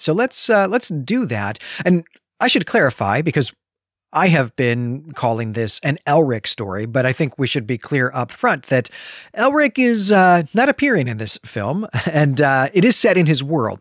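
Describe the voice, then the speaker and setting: A man's voice: medium pitch, no echo, clean studio sound. A middle-aged man hosting a podcast, talking casually about books in a digressive, self-correcting, enthusiastic way. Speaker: podcast host. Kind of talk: casual literary discussion.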